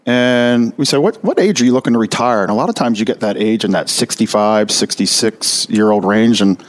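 A man's voice: fairly low pitch, rough recording. Emphatic male retirement advisor. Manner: energetic, emphatic, slows down to stress figures. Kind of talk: explanatory talk to listeners.

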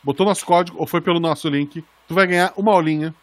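A man's voice: fairly high pitch, fairly even intonation, quick pace, dry recording. A young adult man with a Brazilian accent co-hosting a casual podcast, chatty and joking as he tells stories. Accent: Brazilian Portuguese. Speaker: podcast co-host. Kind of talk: casual podcast chat with sponsor read.

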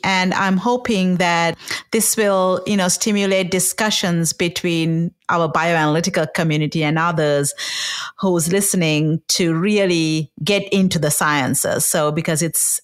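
A woman, explaining her path in a podcast interview. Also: lowish voice. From Sri Lanka. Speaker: guest scientist.

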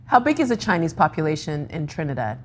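A woman hosting a broadcast interview, delivering scripted introductions in a measured way. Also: sits low, big pitch swings, a little hiss or hum. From Africa. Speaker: host.

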